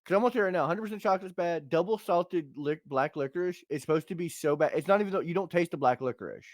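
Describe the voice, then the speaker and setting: A man's voice: a little high, quick pace, dry recording, clean studio sound. An American man co-hosting a livestream podcast, joking and rambling in casual unscripted talk, often repeating himself. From United States.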